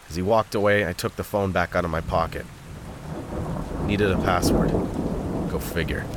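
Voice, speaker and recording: Deep-voiced, brooding convict. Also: male voice, heavy background noise. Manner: gritty, brooding, foul-mouthed. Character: bitter, lost convict recounting his grim story. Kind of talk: scripted first-person noir-style narration in an audio drama.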